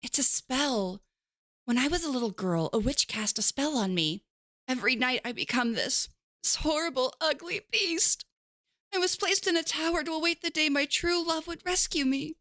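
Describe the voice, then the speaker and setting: A woman voicing a young princess under a curse, speaking softly and earnestly. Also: sits high, lively intonation, moderate pace, dry recording. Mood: sorrowful. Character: young princess cursed to turn ogre by night.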